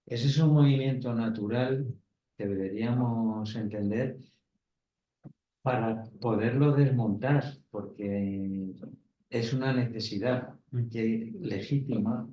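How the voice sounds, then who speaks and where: Thoughtful man, mellow voice, echoey room. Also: medium pitch, takes it slowly. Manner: thoughtful, hesitant, searching for words. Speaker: panelist, Gestalt therapist. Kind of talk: round-table discussion. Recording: roomy, dull, lo-fi recording.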